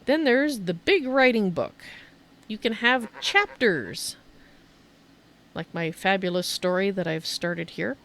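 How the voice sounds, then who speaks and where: A woman's voice: medium pitch, slow pace, fairly bright tone. A woman giving a casual, chatty game tour as a streamer, thinking aloud as she shows things off. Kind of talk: casual gameplay commentary and show-and-tell.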